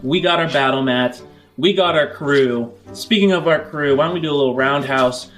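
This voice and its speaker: A man's voice: fairly high pitch, moderate pace, a bit bright, faint music behind. A man hosting a tabletop role-playing show as its game master.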